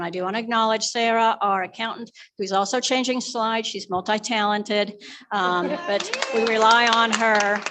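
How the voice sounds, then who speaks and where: A woman's voice: mid-range voice, a bit bright. A woman presenting a prepared financial update as a finance officer at a live members' meeting.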